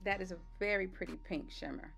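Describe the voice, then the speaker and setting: A woman with a slightly raspy voice doing a relaxed step-by-step voice-over for her makeup tutorial. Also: on the low side, fast talker, soft background music. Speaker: beauty vlogger. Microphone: voice-over mic.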